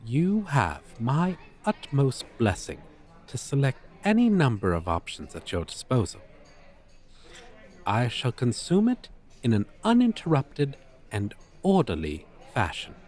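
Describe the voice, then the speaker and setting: A man's voice: mid-range voice, huge pitch swings, measured pace, darkish tone. A man playing a haughty, formal dragon teacher in an audio roleplay, with pompous, wordy, old-fashioned phrasing. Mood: haughty, amused. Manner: pompous, verbose, archaic formal diction. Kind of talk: ASMR roleplay, character acting.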